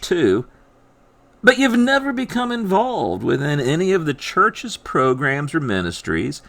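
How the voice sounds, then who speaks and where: A man reads scripted narration in a bright, forceful voice set a little high. He phrases deliberately and dramatically, leaning on key words, with pitch that swings widely through each sentence. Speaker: author reading own work.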